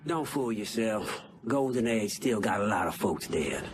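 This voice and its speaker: An actor playing a gruff, world-weary, cynical older underworld veteran in a video game cutscene. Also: male voice, on the low side, lively intonation, measured pace, noisy background.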